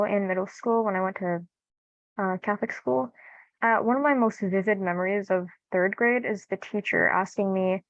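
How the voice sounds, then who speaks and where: A woman's voice: mid-range voice, dry recording. Teenage girl, native Persian speaker, dry muffled audio. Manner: casual, frequent "like". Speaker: youth forum participant. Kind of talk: informal personal anecdote.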